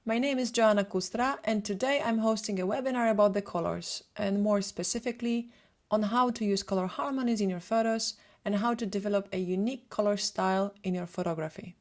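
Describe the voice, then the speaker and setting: A woman in her thirties with a Polish accent, a photographer presenting a prepared webinar in a steady, read-from-notes way. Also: mid-range voice, a little fast. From Poland.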